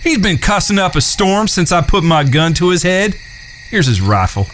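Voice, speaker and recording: An actor playing a courteous, even-tempered outlaw brother in a Western audio drama. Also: male voice, high voice, moderate pace, a little hiss or hum. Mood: dry, firm.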